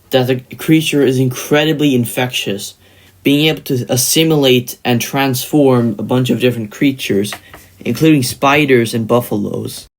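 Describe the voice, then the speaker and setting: A man works through a scripted explainer as the narrator of a commentary video. His voice sits at an average pitch for a man. He presents fictional match-ups as a prepared voice-over. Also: darkish tone.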